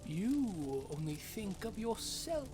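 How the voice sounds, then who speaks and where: A woman's voice: medium pitch, big pitch swings, slow, music in the background. A woman voicing an imperious, mocking, volatile female dragon in a fantasy audio drama, theatrical and scornful. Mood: menacing, condescending. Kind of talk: fantasy audio roleplay acting.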